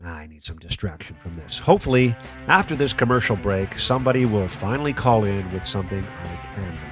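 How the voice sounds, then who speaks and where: A man's voice: on the low side, animated, brisk, fairly bright tone, faint music behind. A man playing a jaded, sarcastic call-in radio host in a radio play. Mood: weary, aggrieved. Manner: dry, sarcastic. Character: sardonic, jaded male conspiracy talk radio host. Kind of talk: radio play acting as talk radio.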